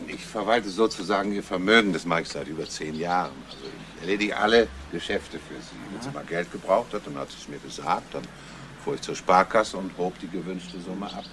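A hesitant nephew in a crime radio play, very low in voice. He answers questions tersely, with noticeable noise behind him. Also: lively intonation, brisk, fairly bright tone. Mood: guarded, factual. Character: hesitant male nephew of a murdered aunt, under questioning. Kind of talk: German crime radio drama acting. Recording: clear background noise.

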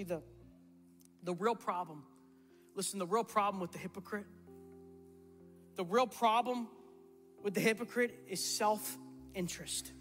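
A middle-aged man preaching a sermon as pastor, emphatic and repeating words for stress. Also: very high voice. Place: church stage before a congregation. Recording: reverberant hall with soft music.

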